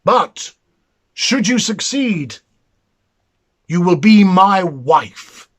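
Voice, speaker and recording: Casting: male reader as commanding, greedy fairy-tale king, imperious and slow, swinging in pitch. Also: very animated, heavily acted. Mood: threatening, commanding. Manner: imperious, measured. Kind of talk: storybook character reading.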